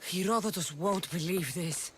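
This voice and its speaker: A woman's voice: fairly low pitch, normal speed, slight background noise, natural voice. A woman playing a determined warrior heroine. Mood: resolute. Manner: firm, earnest. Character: determined young female Spartan mercenary. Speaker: game protagonist. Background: music.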